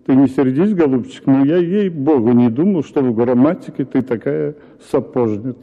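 An actor reading a story for radio as a husband, lecturing in an exasperated tone. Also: male voice, mid-range voice, moderate pace. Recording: tinny radio broadcast with hall reverb.

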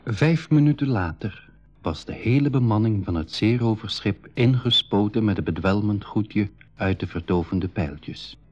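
A man narrating a school radio adventure play in a measured, explanatory way. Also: lowish voice, expressive, a little mellow, slightly rough. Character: composed male storyteller explaining an adventure to listeners. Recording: slightly dull 1980s radio recording.

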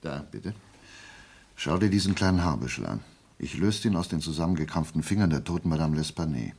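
An actor playing a brilliant, self-assured detective in a German radio play, explaining deliberately and prodding with rhetorical questions. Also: male voice, very deep voice, a little mellow, breathy edge, exaggerated voice. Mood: probing, triumphant. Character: brilliant, methodical male amateur detective, self-assured and theatrical.

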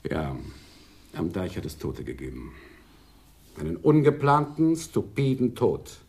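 A commanding crime boss with a creaky, gravelly voice. His formal, ceremonious speech swings widely in pitch, in the manner of 1980s radio drama. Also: wildly swooping pitch, unhurried, darkish tone, heavily acted. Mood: solemn, veiled.

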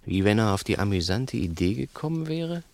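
A low, gravelly male crook in a 1960s radio play. He is cunning and menacing, pressing his point with insistent, theatrical questions and talking down to his accomplice. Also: sing-song, fairly quick, a little mellow. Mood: agitated, mocking.

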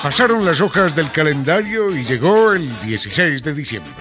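Animated male radio storyteller over salsa. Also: a little high, normal speed. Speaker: narrator. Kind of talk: scripted radio drama narration. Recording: salsa music behind.

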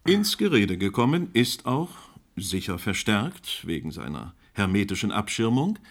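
A man's voice: fairly low pitch, very animated, unhurried, exaggerated voice. An actor playing a formal, courteous but firm male moderator of a live crisis panel in a German radio drama. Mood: pointed, factual.

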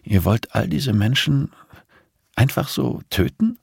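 A conscientious woman, shocked and disbelieving, in an audiobook thriller, voiced by a man. The pitch sits above the narrator's own, and the manner is earnest, questioning and incredulous. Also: very animated, slow pace, vocal fry, a little mellow, breathy edge, dry recording, studio quality, exaggerated voice. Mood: stunned disbelief.

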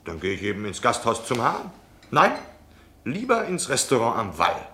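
A man's voice: medium pitch, huge pitch swings, normal speed, pushed and bright. An actor playing a talkative, nosy, self-important travelling salesman in a German radio play. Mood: indignant, mocking. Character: chatty, pushy, cocksure travelling salesman in knitwear.

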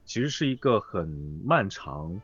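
A man chatting as a voice actor guest in a live interview, phrasing things haltingly. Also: lowish voice, very animated, fairly bright tone, dull audio, soft background music. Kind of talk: casual live interview chat.

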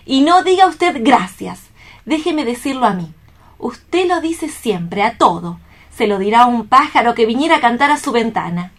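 High-voiced, tender young woman. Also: moderate pace. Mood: affectionate, amused. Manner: expressive, theatrical. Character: bright, tender-hearted young woman reporter, compassionate. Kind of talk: radio play acting.

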